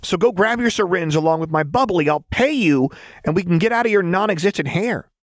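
A brash, twitchy young woman, played by a man in a storybook reading, pitched well above his natural voice. The delivery is cocky and unhinged, full of slang, in a close, dry recording. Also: expressive, brisk, no room sound. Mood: brash, impatient. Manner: cocky, slangy, stammering when rattled.

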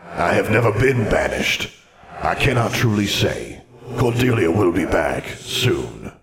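A man voicing an ancient, stern and proud wizard's ghost who speaks in a formal, measured, haughty manner. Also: sits low, very animated, creaky, a bit bright, reverberant, exaggerated voice. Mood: grave.

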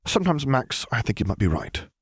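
A man voicing a prim, unflappable naval captain with sly wit in an audiobook, measured and understated. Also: medium pitch, sing-song, slightly rough, no room sound, studio quality, pitched above the performer's own voice.

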